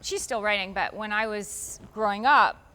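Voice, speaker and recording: Bright-voiced chatty woman author. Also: mid-range voice, normal speed, clean tone, poor audio. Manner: chatty, rambling with frequent restarts. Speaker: author being interviewed. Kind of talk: casual interview answers.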